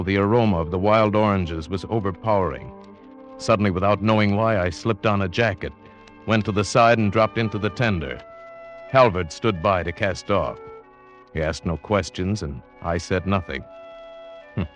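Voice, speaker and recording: An actor as a low-voiced, brooding adventure narrator over music. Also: male voice, lightly acted. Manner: measured, literary, atmospheric delivery. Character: uneasy, brooding yachtsman narrating his seafaring adventure. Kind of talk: 1940s-50s radio drama acting, scripted narration. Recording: old radio drama with music underneath.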